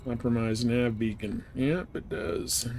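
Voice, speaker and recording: Male game streamer, mid-pitched, casual and laid-back, thinking aloud over faint noise. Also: measured pace, a little mellow. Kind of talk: casual livestream gameplay chat.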